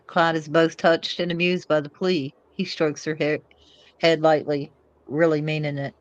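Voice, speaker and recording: A woman's voice: low voice, level tone, measured pace, dry recording, lo-fi sound. A woman reading screenplay stage directions aloud as scripted narration. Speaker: co-narrator, reader. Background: faint music.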